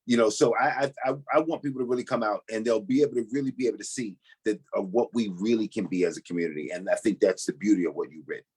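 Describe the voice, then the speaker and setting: A man's voice: lowish voice, rapid-fire, hardly any pauses, darkish tone, slightly rough. A man who is a pastor, speaking as an interview guest on a video call, emphatic and repeating words. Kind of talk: casual interview talk.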